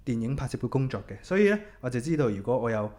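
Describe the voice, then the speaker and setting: A man's voice: medium pitch, very animated, very fast, darkish tone. A man hosting a casual podcast, chatting in an easygoing way and mixing English words into his talk. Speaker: podcast host.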